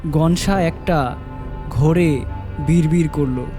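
A man's voice: a little high, takes it slowly, music in the background. A man narrating a scripted horror story as the storyteller.

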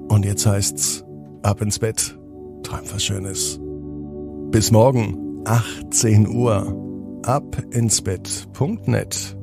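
A man narrating a children's bedtime story as podcast host, in an expressive, measured storytelling manner. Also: fairly low pitch, music in the background.

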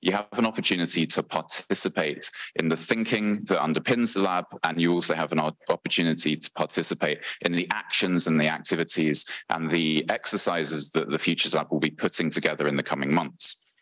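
A British man in his thirties speaking on stage at a conference with a handheld mic, earnest and thoughtful, often pausing with fillers and correcting himself. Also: deep voice, fairly bright tone, no echo, low-quality recording. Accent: British English. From Britain. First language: English. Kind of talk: conference talk. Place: large conference hall, on stage.

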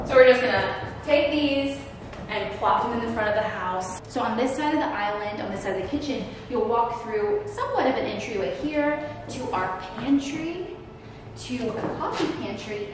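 A young woman vlogging casually, chatty and animated as she tours an empty old house she is renovating. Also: medium pitch. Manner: chatty, animated, laughs often. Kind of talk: casual home tour vlog. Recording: very echoey empty rooms, noisy background.

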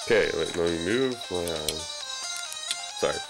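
Low-voiced young guy doing animated game commentary. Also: moderate pace. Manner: joking, self-deprecating. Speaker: let's play commentator. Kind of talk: casual off-the-cuff gameplay commentary. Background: video game music.

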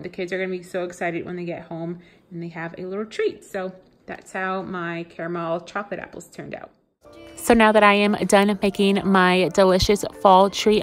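A woman in her thirties, chatting casually to her viewers as she walks them through baking and cleaning in her home kitchen. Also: fairly low pitch. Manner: chatty, talks straight to viewers. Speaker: lifestyle vlogger. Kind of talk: casual cooking and cleaning voice-over.

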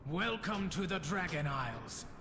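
A ceremonial dragon-realm guard in a fantasy game. The voice is fairly high and very slow, formal and deliberate, under loud ambient noise. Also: male voice, sing-song. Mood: formal welcome.

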